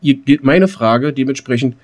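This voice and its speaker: Chatty man with a mid-pitched voice. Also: moderate pace, a little mellow. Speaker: podcast co-host. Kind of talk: casual podcast chat.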